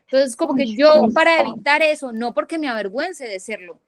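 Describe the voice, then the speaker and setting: A woman's voice: high-pitched, expressive, no echo, studio quality. A woman co-hosting a podcast in casual chat, talking in long chatty runs full of fillers and asides, originally from Latin America. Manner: chatty, many fillers like "o sea", quick asides. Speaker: podcast co-host.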